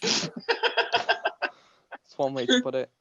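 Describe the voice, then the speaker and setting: A woman's voice: rumbling low, big pitch swings, slow, a bit bright, dry recording. A woman panellist giving casual, amused reactions over a noisy, dull-sounding stream microphone.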